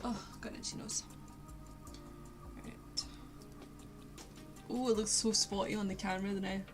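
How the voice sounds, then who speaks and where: Young woman, posh Glasgow accent, rambling self-mocking streamer chat, mid pitch. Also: steady tone, a little slow. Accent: posh Glaswegian Scottish. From Scotland. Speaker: art streamer. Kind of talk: casual live-stream chat while painting. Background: faint lo-fi music.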